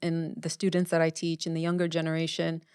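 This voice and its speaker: Smooth-voiced woman writer, close and dry. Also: deep voice, completely flat delivery, fairly quick, a little mellow, dry recording. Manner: thoughtful, repeats words while forming ideas. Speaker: writer, podcast guest. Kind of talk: candid conversational interview.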